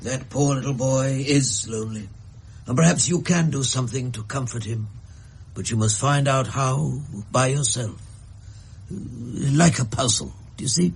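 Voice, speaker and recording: A man voicing a gentle, mystical old grandfather in a radio drama, speaking slowly and musingly. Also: medium pitch, creaky, breathy edge, heavily acted. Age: older.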